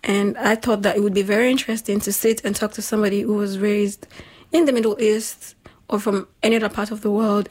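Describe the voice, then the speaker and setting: A woman with a slightly husky voice, hosting a casual chat in an informal, emphatic, slangy way. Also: medium pitch. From Nigeria. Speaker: talk show host.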